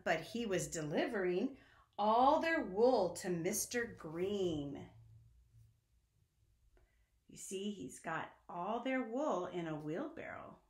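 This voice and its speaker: A woman reading a picture book aloud to young children, expressive and sing-song, talking to them directly. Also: medium pitch. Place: indoor room at home. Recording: echoey room.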